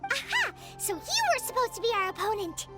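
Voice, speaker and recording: A woman voicing a small, child-like fairy companion in an anime game, squeaky, cutesy and exclamatory, with loud music over her. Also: huge pitch swings, fairly quick, exaggerated voice. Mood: indignant.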